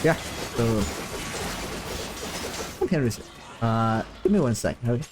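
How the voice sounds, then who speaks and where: A man's voice: mid-range voice, animated, unhurried. Chatty man streaming a game. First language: not English. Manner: rambling and chatty, with many fillers and soft laughs. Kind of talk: casual chat while live-streaming a game. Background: game music.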